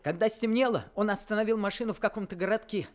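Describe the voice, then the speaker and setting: A man's voice: high-pitched, fairly even intonation, quick pace, slight background noise, close to an everyday voice. A young man narrating a radio play as the older brother, earnest and reflective.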